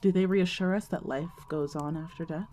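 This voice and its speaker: A woman with a lowish, soft-edged, warm voice acts as a wry narrator of an audio drama, recalling her past. She speaks deliberately and conversationally, with dry asides, over clearly audible background noise. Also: steady tone, natural voice. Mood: reflective. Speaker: narrator of a fiction podcast.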